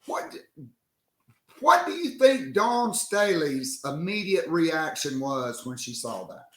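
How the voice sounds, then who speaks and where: A man hosting a sports podcast, talking casually and jokingly with a worn-out, hoarse voice. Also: a little high, very animated, pushed and bright, reverberant. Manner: loose and joking, slow drawn-out delivery. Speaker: podcast host.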